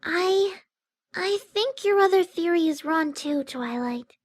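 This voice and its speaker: A woman voicing a timid, gentle female pegasus pony with a hesitant, mumbling manner, in a scripted story reading. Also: very high-pitched, animated, slow pace, exaggerated voice. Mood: hesitant, shy.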